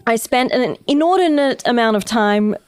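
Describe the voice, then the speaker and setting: A woman's voice: on the high side, lively intonation, normal speed, clean tone, no echo, studio quality. An Australian woman, an astronomy lecturer, chatting and explaining her work on a podcast with a ready laugh. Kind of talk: casual podcast chat. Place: small podcast studio.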